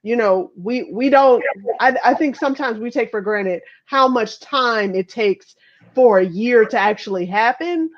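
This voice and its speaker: Higher-voiced American woman in her thirties. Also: a little slow. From Chicago, United States. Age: 30s. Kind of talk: casual chat, personal reflection. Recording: dull lo-fi audio.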